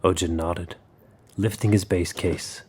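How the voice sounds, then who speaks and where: A man's voice: low-pitched, fairly even intonation, unhurried, darkish tone, slight background noise. A man narrating a scripted dramatic story as its narrator. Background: music under the voice.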